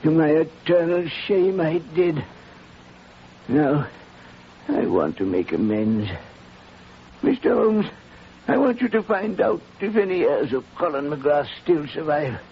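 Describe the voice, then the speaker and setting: Dying old man in a 40s radio drama, fairly high creaky voice, weighty and sorry, muffled recording. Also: big pitch swings, slight background noise, heavily acted. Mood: remorseful. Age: older. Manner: slow, confessional, weighty delivery. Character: old, ailing, remorseful English playwright on his deathbed. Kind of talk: 1940s radio drama acting.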